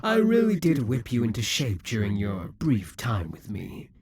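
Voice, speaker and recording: A man's voice: medium pitch, huge pitch swings, moderate pace, darkish tone, breathy edge, no echo, heavily acted. Brief: male actor as a scheming demon king for an audio roleplay, dry close sound, taunting and possessive. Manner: taunting, possessive, drops to whispers.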